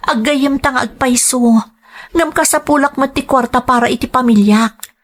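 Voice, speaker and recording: A woman's voice: sits high, normal speed. A woman playing a guilty, apologetic young friend, pleading in melodramatic audio drama style. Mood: apologetic, defensive.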